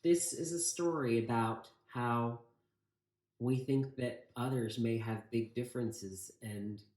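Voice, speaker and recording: A man's voice: mid-range voice, slow pace, darkish tone. A man with an American accent reading a storybook aloud and talking informally to listeners. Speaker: city council member reading to children. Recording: echoey room.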